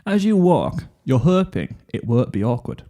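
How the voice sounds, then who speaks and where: A man's voice: medium pitch, big pitch swings, moderate pace, dark tone. A man narrating a second-person fan fiction story.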